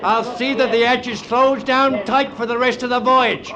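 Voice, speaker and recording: An actor playing a hard, callous ship's first mate in an old radio drama, with rough speech and a sardonic manner. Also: male voice, very high voice, fairly even intonation, moderate pace, a crowd in the background. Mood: taunting.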